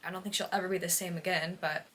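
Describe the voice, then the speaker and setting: A woman's voice: fairly low pitch, fairly even intonation, fast talker, fairly bright tone. A woman chatting casually to camera as a book vlogger, with an effusive, dramatic and slangy manner. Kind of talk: casual chat to camera in a reading vlog.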